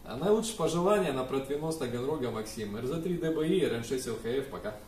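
A man's voice: mid-range voice, lively intonation, fast, a little mellow. Young man on radio, echoey distant room sound. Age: young adult. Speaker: amateur radio operator. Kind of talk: ham radio contact, call signs spelled out. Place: small indoor room with radio desk and computers. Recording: echoey room, distant mic, faint noise.

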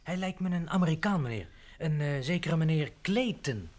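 Guy doing a fussy servant in an old radio play, highish voice, hurried and deferential. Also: expressive, natural voice. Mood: flustered. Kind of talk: old Dutch radio drama acting.

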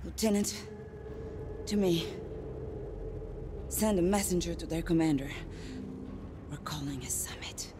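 A woman playing a grave, weary military leader in a video game, solemn and earnest, with a breathy voice that often drops to a whisper. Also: lowish voice, unhurried, pauses often, heavy background noise, lightly acted. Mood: grave resolve. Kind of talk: dramatic video game cutscene acting. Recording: music behind the voice.

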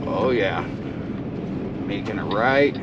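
A man's voice: on the low side, animated, takes it slowly. A man, a truck driver, thinking aloud in short casual remarks while driving, recorded on a camera in his cab. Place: inside a truck cab on the road. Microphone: dash-mounted camera. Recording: dull lo-fi sound with loud road noise. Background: engine and road noise.

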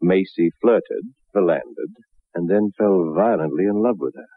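A man's voice: sits low, normal speed, no room sound. A man playing a chatty raconteur who tells a friend a wild tale in a 1960s radio drama, with clubby, old-fashioned phrasing. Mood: confiding, dramatic. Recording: muffled narrowband old radio recording.

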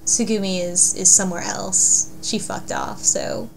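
A woman's voice: mid-range voice, wildly swooping pitch, a little slow, gravelly, a loud crowd, rough recording. A woman co-hosting a podcast, chatting casually and wryly as she recaps a story. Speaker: podcast co-host.

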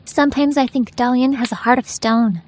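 A kind young woman with a high, light voice, as a male narrator puts her on. She speaks earnestly and encouragingly, sounding gentle and sincere. The storybook delivery is clean and close. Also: fairly even intonation, moderate pace.

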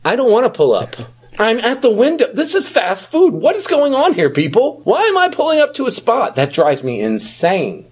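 A man co-hosting a podcast in casual chat, with a slightly husky voice and a questioning, conversational way of talking. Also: very high-pitched, huge pitch swings, normal speed. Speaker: podcast co-host.

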